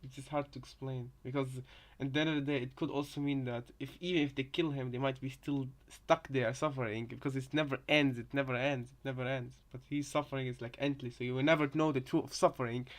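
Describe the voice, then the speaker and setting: A man casually commenting on game voice lines as a co-host, rambling and circling back over his words in a halting way. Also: mid-range voice, fairly even intonation. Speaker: reaction video co-host.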